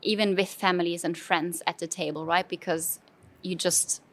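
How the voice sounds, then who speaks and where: A woman's voice: on the low side, fairly even intonation, moderate pace, fairly bright tone. A woman from Germany with a German accent, speaking as a guest in a conversational interview, thoughtful, with frequent fillers and restarts. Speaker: brand manager, interview guest. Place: conference venue.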